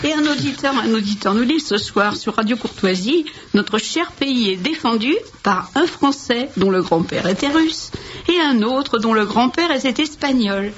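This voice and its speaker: A high-pitched woman co-host reading messages on a talk show, playful and lively. The audio is dull and lo-fi, with faint background hiss. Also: normal speed. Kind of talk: reading listener messages on radio.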